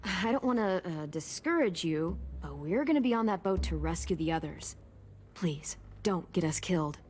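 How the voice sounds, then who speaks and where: A woman playing a caring, wry teenage girl leader in a video game, speaking in a measured, gently dry way. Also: lowish voice, animated, a little hiss or hum, lightly acted. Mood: gentle concern. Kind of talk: naturalistic video game voice acting.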